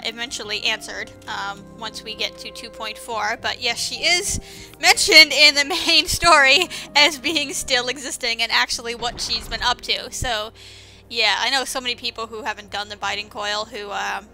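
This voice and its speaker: Female game commentator, high and piercingly bright, sarcastic and informal, casual delivery over game music. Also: normal speed, rough recording. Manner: sarcastic, exclamatory; informal, swearing. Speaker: gameplay commentator.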